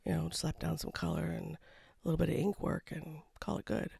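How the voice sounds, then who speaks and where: An artist talking casually over a painting time-lapse, with a froggy voice from a cold and a low-key, rambling manner. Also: very animated, a little fast, creaky, darkish tone, husky, dry recording. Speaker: watercolor artist. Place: home studio.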